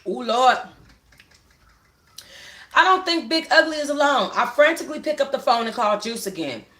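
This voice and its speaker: A woman's voice: high voice, animated, moderate pace, pushed and bright. A woman hosting a book club livestream at home on her phone, reading expressively and talking to viewers in an informal, slangy, conversational way. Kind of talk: expressive read-aloud with casual asides to viewers. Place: room at home. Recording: roomy echo on a phone livestream.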